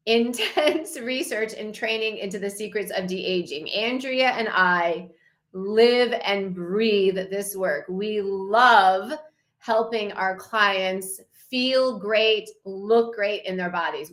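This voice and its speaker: A woman's voice: mid-range voice, a bit bright. A woman in her fifties presenting slides to a challenge group as a wellness coach, talking in an enthusiastic, promotional way. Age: 50s. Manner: enthusiastic, promotional, slow and deliberate.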